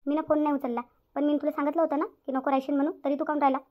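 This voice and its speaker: Woman acting a high-pitched, nagging village mother-in-law. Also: little pitch movement, very fast, close to an everyday voice. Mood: scolding, bitter. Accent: Vidarbha Marathi. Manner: nagging, repetitive rhetorical questions. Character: stern middle-aged village mother-in-law, possessive, scolding and self-pitying. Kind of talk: melodramatic cartoon family drama. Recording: dull lo-fi audio.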